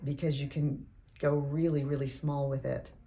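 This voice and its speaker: Female performer, low register, informal interview talk with slight noise behind. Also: flat delivery, moderate pace, dark tone. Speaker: actress in an audio drama. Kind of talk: casual interview.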